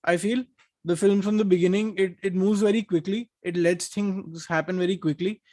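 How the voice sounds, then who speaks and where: A man's voice: high voice, fairly even intonation, normal speed, darkish tone, no room sound. A man with an Indian accent in a casual film discussion, enthusiastic and rambling as he works out his thoughts. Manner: enthusiastic, rambling, repeats words as he thinks. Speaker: podcast co-host.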